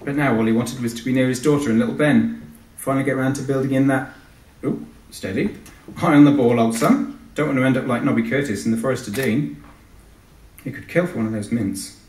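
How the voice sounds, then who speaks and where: A man's voice: mid-range voice, brisk, a little mellow. A man, an author giving a live reading from his novel. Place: live event in a large venue. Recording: echoey hall, distant microphone.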